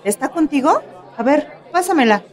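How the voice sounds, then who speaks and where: Jittery young mexican student gal, dramatic and exclaiming, radio soap, crowd murmur behind. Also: female voice, high-pitched, lively intonation, moderate pace. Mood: anxious, scolding. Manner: exclaiming, colloquial. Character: nervous young female student delegate, dramatic and pleading. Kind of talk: radio soap opera acting.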